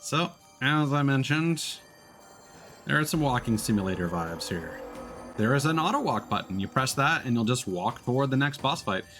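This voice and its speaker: A man's voice: mid-range voice, lively intonation, moderate pace, a bit bright. A man giving casual livestream commentary while playing a video game. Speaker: streamer. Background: game music.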